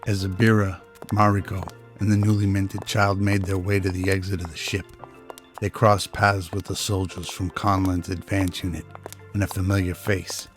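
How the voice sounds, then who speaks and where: A man's voice: sits low, flat delivery, normal speed. A man reading scripted narration for a radio-style drama. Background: music.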